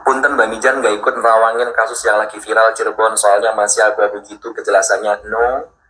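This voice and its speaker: A man speaking as a psychic, calmly giving advice. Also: on the low side, fairly quick, a little hiss or hum, poor audio.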